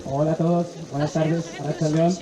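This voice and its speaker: A man's voice: on the high side, flat delivery, a little fast, crowd noise. A man from Ukraine, reciting a poem earnestly and steadily at a recital. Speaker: adult student reciting poetry. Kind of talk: poetry recital. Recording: echoey hall, dull sound.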